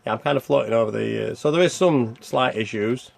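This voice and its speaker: A man's voice: medium pitch, brisk, creaky. A man giving casual, rambling gameplay commentary, thinking aloud as he plays and reviews a video game.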